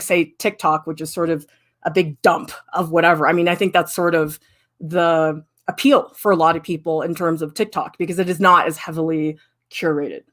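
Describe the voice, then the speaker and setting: A middle-aged woman art professor giving a candid, lively livestream critique and answering viewers' questions. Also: fairly low pitch. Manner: candid, lively, emphatic, with frequent "I mean".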